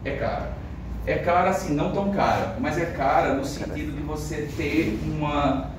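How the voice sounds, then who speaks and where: A Brazilian Portuguese-accented man lectures a class in a loose, conversational way, peppering his points with quick check-ins. He sounds a cold-ridden teacher caught from far across a very echoey room, with steady background noise. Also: a little high. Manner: conversational, frequent fillers and tag questions. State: has a cold. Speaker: medical lecturer.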